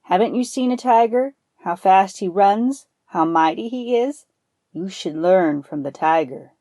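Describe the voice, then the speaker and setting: A woman's voice: medium pitch, lively intonation, a little slow, warm tone, dry recording, studio quality, lightly acted. Woman as a harsh, scolding farmer.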